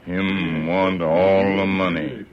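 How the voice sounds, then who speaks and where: A man's voice: low voice, level tone, takes it slowly. A man playing a loyal sidekick in an old radio western, speaking terse, clipped broken English. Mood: terse, steady. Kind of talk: 1940s radio western acting. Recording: muffled old radio, background crowd.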